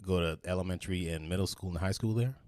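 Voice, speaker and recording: Male host, upbeat interviewer, short questions, lowish voice. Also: quick pace, a little mellow. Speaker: podcast host. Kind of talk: interview hosting.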